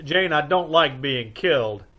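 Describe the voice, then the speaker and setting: An American man playing a wry, reluctant middle-aged gentleman detective in an old-time radio comedy, delivered deadpan. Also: on the high side, measured pace, heavily acted.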